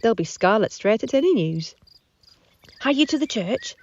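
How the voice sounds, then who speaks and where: A woman's voice: fairly high pitch, big pitch swings, normal speed, a little mellow. A woman playing a tired, teasing older nurse in a Shakespeare audio drama, rambling and stalling breathlessly. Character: weary, teasing older female nurse, servant and go-between. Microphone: phone, recorded at home. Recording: muffled lo-fi home phone recording.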